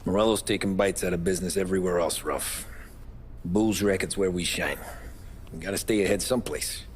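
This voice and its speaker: A man's voice: low-pitched, fairly even intonation, a little fast, a little hiss or hum, natural voice. A man playing a reserved, guarded young gangster who talks in a terse, understated way in a video game crime drama.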